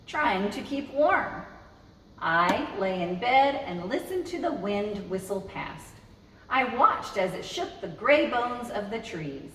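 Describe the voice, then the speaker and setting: A woman's voice: mid-range voice, a bit bright. A woman reading a children's story aloud as a storytime host, expressively and speaking directly to young listeners. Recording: roomy, echoey, distant mic with noise.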